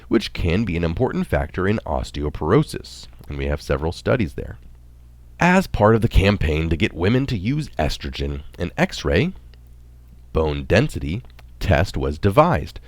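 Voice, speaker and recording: A man reading a scripted health article aloud as narration, in a deliberate, explanatory manner. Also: deep voice, dry recording.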